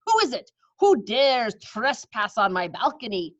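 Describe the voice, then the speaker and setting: A woman's voice: a little high, very animated, highs cut off. A woman voicing an imperious, menacing storybook witch who snaps her words out in long, commanding phrases. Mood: angry, suspicious. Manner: drawn-out, commanding snapping. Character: imperious, menacing female Grand High Witch, snappish.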